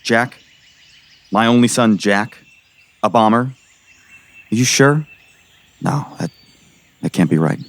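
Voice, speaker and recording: A man acting a gruff, skeptical middle-aged sheriff in an audio drama, exasperated and pressing. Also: mid-range voice, expressive, takes it slowly, long pauses, no room sound. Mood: disbelieving, shaken. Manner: exasperated, sarcastic, pressing interrogator.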